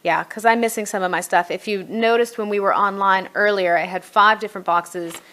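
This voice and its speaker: Middle aged woman doing a chatty review walkthrough, kinda thinking out loud while she clicks around. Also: mid-range voice, steady tone, brisk. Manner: conversational, thinking aloud while navigating. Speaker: homeschool curriculum reviewer. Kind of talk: casual screen walkthrough review.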